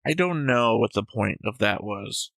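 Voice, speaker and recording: A man's voice: lowish voice, expressive, a little slow, gravelly, a bit bright, no room sound, studio quality. A man hosting a casual discussion who methodically reads from his notes and is careful not to give anything away. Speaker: reaction show host.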